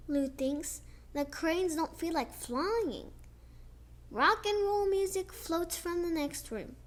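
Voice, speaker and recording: A young girl reading a children's picture book aloud, slowly and expressively, with chatty asides about the pictures. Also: high voice. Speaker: child storyteller.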